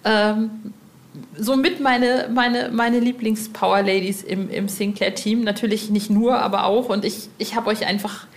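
A woman with a medium-pitched voice hosts a podcast. She is informal and effusive, chatting to listeners and making casual announcements. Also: steady tone, measured pace. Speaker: podcast host.

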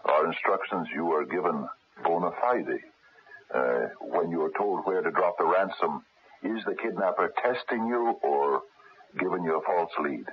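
An actor playing a gruff, methodical private investigator in a radio mystery, gravelly and husky, probing in a businesslike, halting way. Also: male voice, sits low, animated, exaggerated voice. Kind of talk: 1970s radio mystery acting. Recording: dull lo-fi radio recording.

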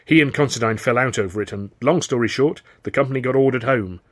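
A man's voice: medium pitch, fast, lightly acted. Man as an eager, flustered stage comedian. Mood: explaining. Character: eager, flustered male music-hall comedian, pleading and persuasive. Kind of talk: audiobook character dialogue.

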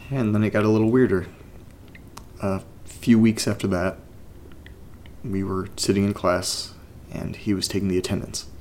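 A male actor plays an uneasy, reluctant man in a horror audio drama. His voice sits fairly low, with a heavy gravelly fry. He speaks haltingly and naturally, as if muttering to himself, over a faint rumbling noise. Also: level tone, a little mellow, close to an everyday voice. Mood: uneasy, subdued. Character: uneasy, reluctant man exploring a creepy place and reading strange letters. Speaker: main character and narrator of a horror audio drama. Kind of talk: naturalistic found-recording audio drama monologue, partly reading a letter aloud.

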